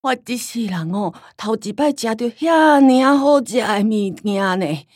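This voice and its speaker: A woman playing a forgetful, homely old grandmother in a radio drama, rambling and sighing with a Taiwanese accent and drawn-out sentence endings. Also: on the high side, expressive, a little mellow, dry recording, studio quality. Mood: wistful, rambling.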